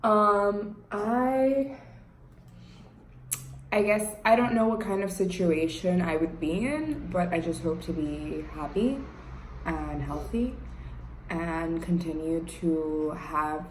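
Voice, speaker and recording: A woman's voice: on the low side, slow pace. A young woman from New Jersey, chatting casually and thoughtfully in a vlog, with plenty of ums. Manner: relaxed and thoughtful, with many fillers. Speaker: vlogger. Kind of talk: casual chat. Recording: echoey room with faint noise.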